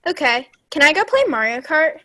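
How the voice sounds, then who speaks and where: A woman's voice: high voice, big pitch swings, moderate pace, pushed and bright, full character voice. A woman acting an innocent, blunt, eager little schoolgirl daughter in a staged play reading. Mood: matter-of-fact. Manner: childlike, matter-of-fact.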